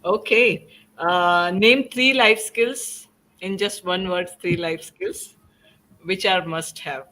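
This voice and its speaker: A woman's voice: fairly low pitch, steady tone, slow, pushed and bright, low-quality recording. A woman hosting a panel discussion, putting questions to a group and responding with short approving words.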